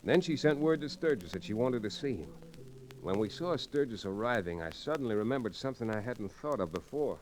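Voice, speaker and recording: An actor playing an easygoing, wry cowboy hero who also narrates an old-time radio Western. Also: male voice, mid-range voice, expressive, a little fast, darkish tone, lightly acted, low-quality recording. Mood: storytelling. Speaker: lead character and narrator. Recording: old radio recording with faint noise.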